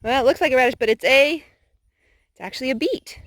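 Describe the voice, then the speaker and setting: A woman's voice: sits high, fast talker, fairly bright tone, no room sound. A woman doing a storytime for young children, speaking and singing expressively as a mother does to small kids. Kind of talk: sing-song children's storytime with read-aloud and songs.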